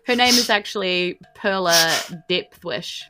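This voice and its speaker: A woman's voice: medium pitch, a little slow, pushed and bright, soft background music, rough recording. A woman with a New Zealand accent narrating a tabletop game as game master, casual and rambling.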